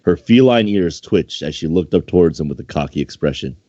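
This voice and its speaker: A man's voice: low voice, expressive, brisk, mellow. A man reading fan fiction aloud in an amateur, casual way, stumbling over the text and commenting on it. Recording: dull, roomy home microphone recording.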